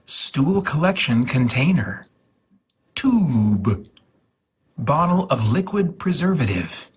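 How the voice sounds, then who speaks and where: Husky man reading aloud very slowly. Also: medium pitch, very animated. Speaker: host of a gaming livestream channel. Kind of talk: slow, deliberate reading aloud of an instruction leaflet.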